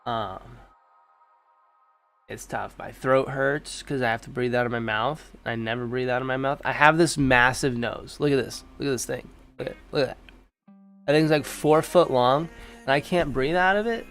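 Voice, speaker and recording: A man's voice: mid-range voice, moderate pace, fairly bright tone, faint music behind. A young man hosting a live stream in casual, slangy chat, sounding stuffed-up and nasal from allergies. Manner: laid-back slangy chatter. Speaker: livestream host.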